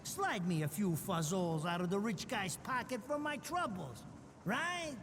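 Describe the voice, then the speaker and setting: A greedy little american hustler in a video game, high voice all over the place, slangy and excitable. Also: male voice, measured pace. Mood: wheedling. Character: weaselly, wheedling small-time male informant, excitable and greedy. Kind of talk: video game cutscene acting. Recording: noisy, long echoing reverb.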